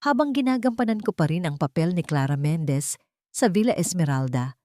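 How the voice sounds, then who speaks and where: Low-pitched woman narrating a dramatic story. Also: lively intonation, brisk, dark tone, no echo, studio quality. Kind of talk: scripted first-person narration of a melodrama.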